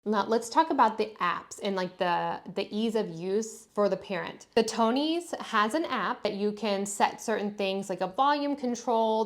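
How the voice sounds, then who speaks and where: A woman giving a casual, conversational product review as a parent talking to viewers. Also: medium pitch. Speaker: parent reviewing kids' toys.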